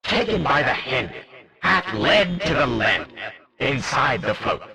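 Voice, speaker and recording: A man's voice: medium pitch, sing-song, slow pace, creaky, a crowd in the background, full character voice. A man voicing a jesty, centuries-old skeleton merchant for a game, speaking in theatrical mock-archaic language. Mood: ominous riddling. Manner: theatrical, archaic, exclamatory, riddling. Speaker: character voice for a game.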